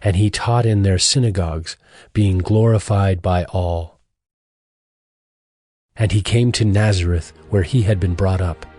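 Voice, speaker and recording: Male narrator, lowish pitch, formal measured scripture reading, music underneath. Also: a little mellow, dry recording.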